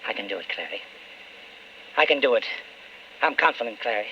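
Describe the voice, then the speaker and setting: A dull, faintly hissy old radio recording of a weak, wavering alcoholic husband. The man speaks in a bright, halting voice, stammering with emotion. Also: mid-range voice, expressive. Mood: craving, relieved. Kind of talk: old-time radio drama acting.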